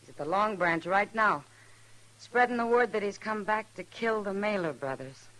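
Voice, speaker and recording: Worried frontier woman played by an actress, forceful. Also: medium pitch, animated, moderate pace, close to an everyday voice, poor audio. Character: worried frontier townswoman bringing news. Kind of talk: 1950s radio western acting.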